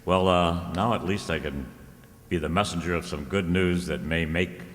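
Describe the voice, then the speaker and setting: Man playing deep-voiced, breezy radio detective George Valentine. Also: moderate pace, fairly bright tone. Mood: breezy, teasing. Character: George Valentine, easygoing wry male freelance detective. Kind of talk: 1940s radio detective drama acting. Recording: echoey hall with noticeable noise.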